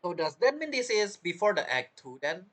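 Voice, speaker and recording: A man giving casual gameplay commentary as a streamer, in a halting, rambling, self-interrupting way. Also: high voice, very animated, a bit bright. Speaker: game streamer.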